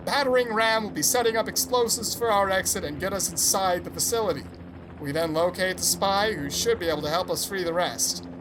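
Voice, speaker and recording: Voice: medium pitch, fairly quick, background noise, natural voice. An actor playing a pompous, vain squad commander in an audio drama, speaking in a grandiose, commanding way. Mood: briskly commanding. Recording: music behind the voice.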